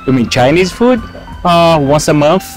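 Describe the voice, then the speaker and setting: A man's voice: fairly high pitch, expressive, a little slow. A man in his thirties giving short casual answers in an outdoor street interview. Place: open city square outdoors. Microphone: handheld furry interview mic. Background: music.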